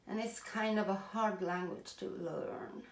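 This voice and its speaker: Slow-talking Norwegian woman, echoey room. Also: mid-range voice, creaky. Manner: chatty, self-deprecating, laughs often, searches for words. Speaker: craft video host. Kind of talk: casual tutorial talk while working.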